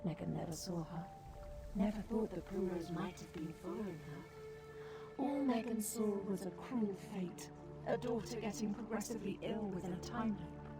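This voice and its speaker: An actress playing a secretive, tender guardian who narrates a tragic tale in a measured, solemn way. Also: female voice, mid-range voice, loud music behind the voice, natural voice. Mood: solemn, wistful. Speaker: story narrator. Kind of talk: scripted dramatic narration.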